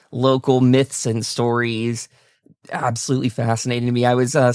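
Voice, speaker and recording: Gravelly man hosting a casual interview. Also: medium pitch, no room sound, clean studio sound. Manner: enthusiastic, complimentary. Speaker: podcast host interviewing a guest.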